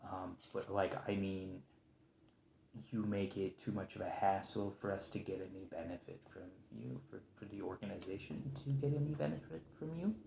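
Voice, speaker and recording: A man's voice: fairly low pitch, creaky, slight background noise. Stressed, rambling captive agent, acted by a man, echoey. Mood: anxious, negotiating. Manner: rambling, halting, apologetic, thinking out loud. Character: stressed, weary captive male special agent pleading with a creature. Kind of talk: ASMR roleplay monologue, improvised conversational acting. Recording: roomy, echoing lo-fi voice recording.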